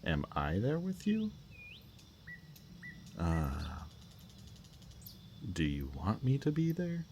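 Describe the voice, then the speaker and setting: A man speaking a gentle, hesitant roleplay monologue to the listener as a soft-spoken villain. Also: medium pitch, huge pitch swings, slow pace, slight background noise.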